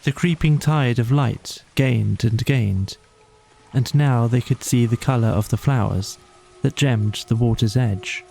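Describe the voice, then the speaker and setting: A man reads a bedtime story as an audiobook narrator, slowly and solemnly. His voice is mellow with a gravelly creak, and music plays softly behind his measured phrases. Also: medium pitch, slight background noise.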